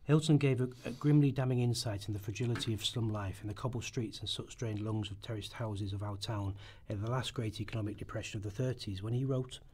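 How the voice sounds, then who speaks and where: A man's voice: fairly low pitch, fairly even intonation, fairly quick, few pauses, darkish tone, a little hiss or hum. A man reading a script aloud as a narrator in rehearsal, now and then stumbling over his words. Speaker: radio play narrator in rehearsal.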